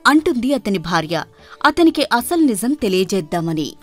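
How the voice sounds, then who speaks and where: A woman's voice: medium pitch, very animated, normal speed, faint music behind. A woman storyteller reading a scripted moral tale as narrator.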